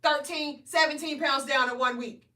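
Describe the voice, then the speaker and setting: 40s woman coaching weight loss on a live, high bright voice, echoey room, sounds a bit off mic. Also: normal speed, recorded from a distance. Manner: energetic, preachy, keeps asking "right?". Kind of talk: live stream motivational talk.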